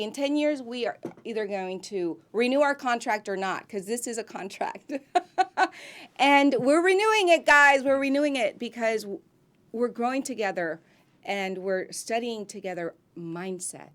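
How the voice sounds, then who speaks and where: A woman who grew up in Miami, an actress guest chatting in an interview, warm and effusive, with frequent ums and restarts. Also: a little high, measured pace. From grew up in Miami, United States. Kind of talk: casual interview chat.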